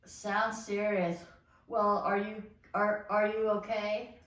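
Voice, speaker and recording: A woman's voice: medium pitch, flat delivery, takes it slowly, a bit bright. A woman reading the part of a sweet, caring young patient at an amateur musical table read. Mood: concerned. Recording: distant mic in echoey room.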